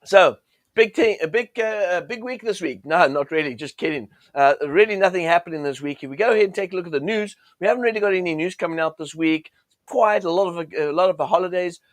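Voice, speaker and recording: A man with a cold, his voice a little croaky, hosting a chatty forex chart session with lots of fillers and restarts. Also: sits high, fast talker, no room sound. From United States. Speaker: forex trading show host. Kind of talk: casual chart commentary.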